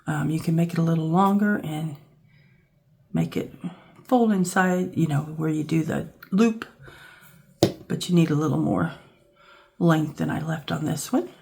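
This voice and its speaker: A woman with a scratchy, hoarse voice from a cold, casually talking through a craft project as she works, thinking aloud. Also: deep voice, unhurried, long pauses. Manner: relaxed, thinking aloud while working with her hands. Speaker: craft channel host. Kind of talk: casual crafting tutorial narration.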